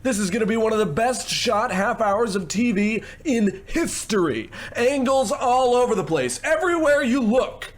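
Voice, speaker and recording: A man's voice: very high voice, expressive. A man playing a slick, pushy, glib producer who sweet-talks like a salesman in an old-time radio comedy. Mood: boastful, excited. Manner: fast-patter salesman, gushing pet names.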